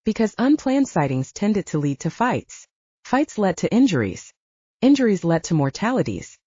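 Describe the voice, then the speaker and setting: Woman narrating fiction with lively, swooping intonation. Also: mid-range voice, moderate pace, husky, dry recording, muffled recording. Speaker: audiobook narrator. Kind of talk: scripted first-person fiction narration.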